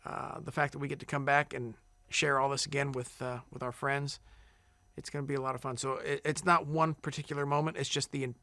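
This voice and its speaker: A man at middle pitch talks in loose, easygoing interview answers, often circling back and restarting his sentences. The sound is dry and close to the mic, with no room around it. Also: a little fast, dry recording. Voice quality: big loud singing voice. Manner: relaxed, rambling, many fillers and restarts. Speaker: singing contestant.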